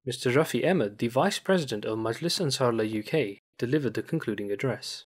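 Husky male news voice-over. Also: medium pitch, animated, a little fast. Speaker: news reporter. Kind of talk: scripted news narration. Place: studio voice-over.

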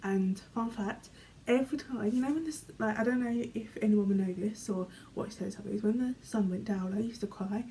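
Young woman, British accent, chatty question-and-answer vlog, relaxed dark tone, small bedroom, camera mic. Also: medium pitch, a little fast, few pauses, a little hiss or hum, rough recording. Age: young adult. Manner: chatty, filler-heavy, often trails off quietly. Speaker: vlogger. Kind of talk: casual chat, reading questions aloud. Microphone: camera in front, medium framing.

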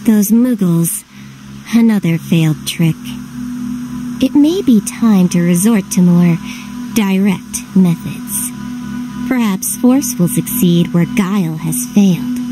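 A cold, scheming fairy from a video game at a middling pitch. Her speech is formal and measured, full of haughty disdain, with music clearly behind it. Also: expressive, low-quality recording. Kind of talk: English video game voice acting.

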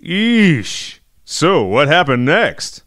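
A fairly high, animated man hosts a scripted podcast, playful and curious as he tees up questions. Faint music plays under his voice. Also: drawn-out words, no room sound, studio quality. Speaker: host.

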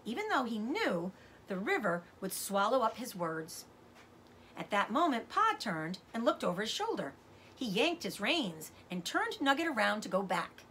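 A middle-aged woman reading a children's novel aloud as a teacher does, with expressive narration. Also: medium pitch, normal speed, a little hiss or hum. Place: indoors, room with bookshelves.

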